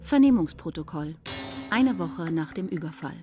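Low-voiced formal announcer over music. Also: female voice, expressive, normal speed, mellow. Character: formal female announcer of scene headings. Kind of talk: scripted radio drama scene announcement.

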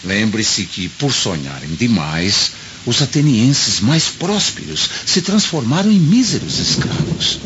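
Pragmatic Roman senator, low voice, highly animated intonation, emphatic, theatrical, sceptical adviser in a radio drama. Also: male voice. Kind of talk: dramatic radio play acting. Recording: dull lo-fi audio with storm noise.

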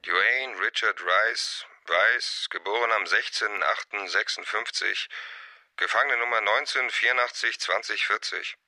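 An actor playing a cold, brutal, threatening interrogator with clipped delivery in a German radio crime drama. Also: male voice, fairly low pitch, measured pace, pushed and bright, full character voice. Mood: terse reporting.

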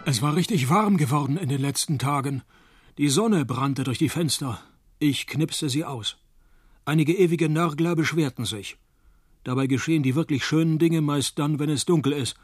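An actor playing a cynical, wisecracking male private detective in a radio play, narrating and talking in a dry, laconic deadpan. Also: a little high, normal speed, slightly rough, no echo. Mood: dry, sardonic.

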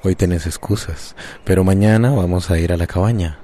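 An actor playing a persistent suitor, speaking in a measured, insistent way in a radio drama. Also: male voice, low-pitched, mellow. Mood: calm, insistent. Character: calm, persistent, jealous suitor.

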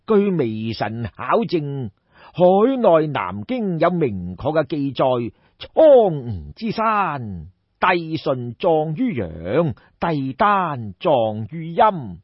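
A man voicing a respectful, smooth-tongued courtier of ancient China in an audiobook, measured and earnest. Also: fairly high pitch, wildly swooping pitch, full character voice. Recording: dull, roomy audiobook recording.

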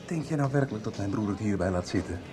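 A male actor plays a hard-nosed criminal man in a radio drama. His low voice is blunt and scornful, brushing people off with dry sarcasm. Music plays clearly behind him. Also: animated, fast, lightly acted. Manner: blunt, dismissive, sardonic. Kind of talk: 1990s Dutch radio soap acting.

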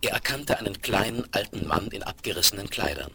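A man's voice: lowish voice, animated, a little fast, vocal fry. A man narrating a Western audio drama in a dramatic, scripted storytelling style. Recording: very noisy 1970s vinyl rip.

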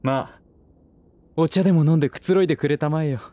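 A man's voice: a little high, fast, warm tone, close to an everyday voice. An actor playing a smug, condescending aristocratic businessman in a Japanese voice drama, with languid, lordly, teasing phrasing. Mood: breezy, teasing.

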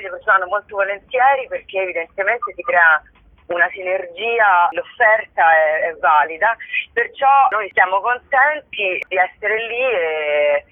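A woman's voice: medium pitch, dry recording. A woman chatting as a guest in a casual interview, often hesitating and searching for words. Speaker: interview guest, performer and writer. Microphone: phone call. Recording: thin, band-limited telephone line.

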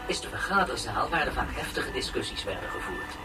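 An actor playing a solemn narrator of a futuristic educational documentary, in scripted style. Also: male voice, medium pitch, big pitch swings, brisk, close to an everyday voice. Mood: solemn, explanatory. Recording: far-off television sound with loud music.

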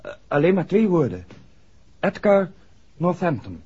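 A man's voice: on the high side, big pitch swings, a little slow, warm tone, husky, exaggerated voice. An actor playing an anxious, earnest young man who speaks hesitantly and stammers, in a 1960s Dutch radio drama. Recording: dull 1960s radio recording.

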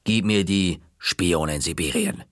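A man voicing a stern, formidable woman librarian in a story reading, low and slow. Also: dry recording, clean studio sound.

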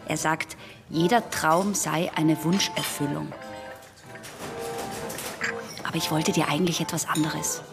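A low-voiced, troubled teenage girl. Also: fairly even intonation, normal speed, vocal fry, music in the background. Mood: secretive. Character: troubled, stubborn teenage girl patient in old Vienna. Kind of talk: intimate German radio drama acting.

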